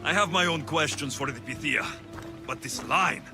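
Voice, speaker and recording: An actor playing a bold, impatient young Greek mercenary in a video game drama, with a brash, wry delivery. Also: male voice, a little high, normal speed, music in the background, close to an everyday voice. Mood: wry, reluctant.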